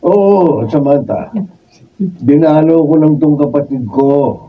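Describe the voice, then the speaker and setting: A man playing an obliging middle-aged uncle in a Filipino radio drama, husky and easygoing, with a Filipino accent. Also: slow pace. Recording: distant, dull low-fi room pickup.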